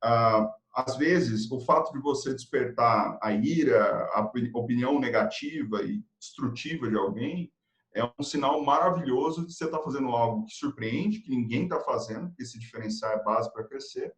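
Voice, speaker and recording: Male guest consultant from Brazil, motivational delivery, mid-range voice, echoey untreated room. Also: mid-range voice. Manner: energetic, motivational, talks in quick lists. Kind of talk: live video-call chat. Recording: roomy, echoey room sound.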